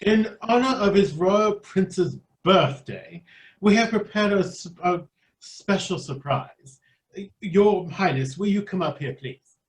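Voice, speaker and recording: An actor playing a formal, ceremonious royal attendant in a children's fairy-tale stage reading. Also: male voice, sits high, slow pace, poor audio.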